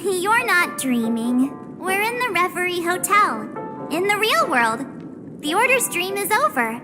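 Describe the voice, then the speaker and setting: A woman voicing an excitable, caring young girl in a video game, perky and expressive. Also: very high voice, unhurried, long reverb tail, music in the background, exaggerated voice. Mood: relieved, chatty. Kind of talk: English video game voice acting.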